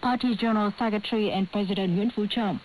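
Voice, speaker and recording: A woman's voice: mid-range voice, fairly even intonation, fast talker, darkish tone, slight background noise, rough recording. A woman reading scripted international news as a radio newsreader on a shortwave broadcast.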